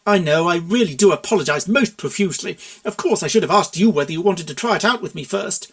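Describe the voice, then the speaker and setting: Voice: mid-range voice, expressive, quick pace, fairly bright tone. A performer voicing a suave, middle-aged cartoon stallion consultant with polite, pompous, flowery speech in a story reading. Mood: earnest, wordy.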